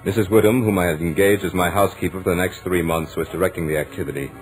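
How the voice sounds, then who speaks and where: A studious young gentleman played by a male actor tells his tale in a low, measured voice. He is literate and self-assured, in theatrical 1940s radio style, with some music behind. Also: fairly even intonation, close to an everyday voice, rough recording. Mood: composed, amused. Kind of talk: 1940s radio drama narration.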